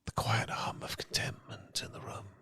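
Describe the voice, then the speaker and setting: A British man speaking as a loving boyfriend in a soft, husky, often whispered ASMR style, gentle, tender and unhurried, close to the microphone. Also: dry recording. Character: loving, soothing boyfriend comforting his partner. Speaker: ASMR audio performer. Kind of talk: ASMR, slow romantic guided relaxation. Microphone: close microphone, dry.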